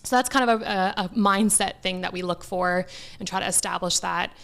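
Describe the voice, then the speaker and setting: A woman's voice: mid-range voice, fairly even intonation, fairly quick, gravelly, fairly bright tone. A Canadian woman chatting casually as an interview guest, telling things in a chatty way with quoted asides. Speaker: talent agent, interview guest.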